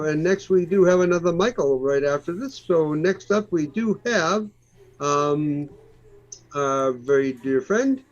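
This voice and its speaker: Friendly host on dull call audio. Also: male voice, a little high. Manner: friendly and encouraging. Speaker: host of an online open mic. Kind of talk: casual hosting chat.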